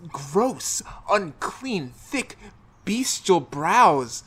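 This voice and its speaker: A woman's voice: fairly low pitch, very animated, drawn-out words, fairly bright tone, husky, heavily acted. A woman playing an eerie dream voice in 1930s-style radio horror, with a lurid, melodramatic delivery. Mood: horrified.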